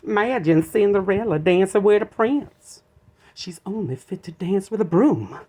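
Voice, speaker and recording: A man's voice: high voice, animated, moderate pace, a little mellow, pitched above the performer's own voice. A man voicing a mocking, spiteful young stepsister in a children's storybook.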